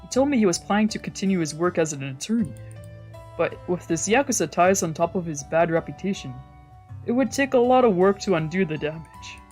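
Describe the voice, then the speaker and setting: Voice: mid-range voice, expressive, a little fast, a little mellow. A streamer reading a visual novel story aloud as narration, sometimes stumbling and rereading phrases. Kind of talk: scripted narration read aloud in a let's play. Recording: game music behind the voice. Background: visual novel music.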